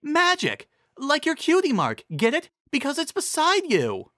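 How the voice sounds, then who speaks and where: A man's voice: very high voice, huge pitch swings, unhurried, fairly bright tone, dry recording, clean studio sound, pitched above the performer's own voice, full character voice. A man voicing a perky, sensible female pony who takes charge in an eager, encouraging way. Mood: excited.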